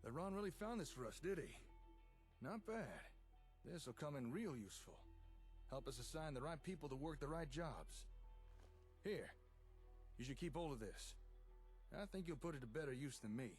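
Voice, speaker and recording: An easygoing tinkerer from a post-apocalyptic game, played by a man, with a highish, lively voice. He is folksy and chatty, explaining technical things with keen enthusiasm. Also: normal speed, long pauses, faint music behind, lightly acted. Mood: teasing, pleased. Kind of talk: conversational video game dialogue.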